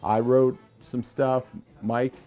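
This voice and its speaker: A man's voice: medium pitch, little pitch movement, slow, dark tone, faint music behind. An American man in his sixties, a musician, casually reminiscing in an interview. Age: 60s. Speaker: musician and songwriter in a band.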